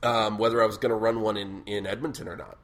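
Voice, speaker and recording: Loud booming guy hosting a podcast, cusses a lot, laughs a bunch. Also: level tone, quick pace. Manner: swears often, laughs a lot. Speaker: podcast host. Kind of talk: casual chat.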